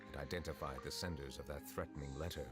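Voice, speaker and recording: An actor playing a calm, stern male chief justice who speaks in a measured, formal way. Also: a bass voice. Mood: composed. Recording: game audio with music behind.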